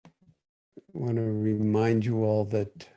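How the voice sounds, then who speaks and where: An older man with a warm, dark voice teaches meditation in a calm, reflective way. He speaks over a video call during a livestreamed question session. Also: fairly low pitch, slow, clean tone.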